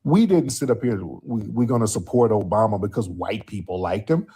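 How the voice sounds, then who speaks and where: Combative husky-voiced male stream host. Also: fairly low pitch, moderate pace, dark tone. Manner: combative, mocking, repeats insults. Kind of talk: heated call-in argument.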